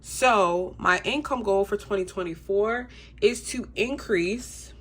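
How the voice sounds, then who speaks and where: A woman's voice: medium pitch, takes it slowly. Congested woman chatting about her budget. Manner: chatty, relaxed, frequent ums. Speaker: budgeting YouTuber. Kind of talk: casual to-camera vlog.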